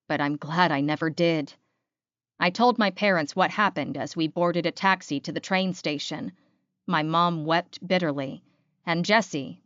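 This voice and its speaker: A woman's voice: on the low side, fairly bright tone, no room sound, highs cut off. A woman reading first-person fiction aloud as an audiobook narrator, in a scripted storytelling style.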